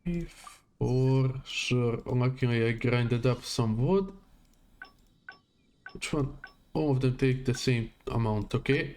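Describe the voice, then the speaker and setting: A man's voice: medium pitch, a little mellow, slight background noise. A man giving casual live gameplay commentary, muttering to himself in short fragments. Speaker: game livestreamer.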